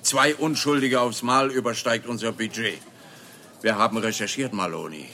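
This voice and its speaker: An actor playing a smug, breezy police officer in a radio play, brisk and self-assured. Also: male voice, medium pitch, bright, forceful tone. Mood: insistent.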